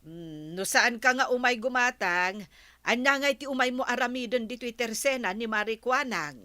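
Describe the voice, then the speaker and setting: A chatty village housewife in an Ilocano drama. She has a fairly high, bright voice and an Ilocano accent, with an everyday neighbourly delivery. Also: normal speed. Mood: teasing, chatty. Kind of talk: Ilocano audio drama acting.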